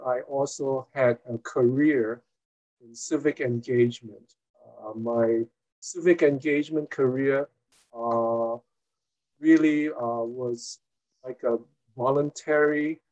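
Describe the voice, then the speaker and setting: Man, 60s, interview guest, slow pace, mid pitch, measured, hesitant pauses. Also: a little mellow, dull audio. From Hong Kong. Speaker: guest, former mayor and retired college teacher. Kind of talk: interview answer, recounting his career.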